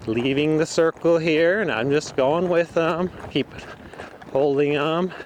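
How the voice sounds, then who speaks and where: A man's voice: a little high, measured pace. A man who trains horses, giving instructional commentary in short, broken phrases as he rides outdoors. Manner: talking in short, broken phrases while riding. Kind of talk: instructional commentary while riding. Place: outdoors in an open riding arena. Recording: faint outdoor noise.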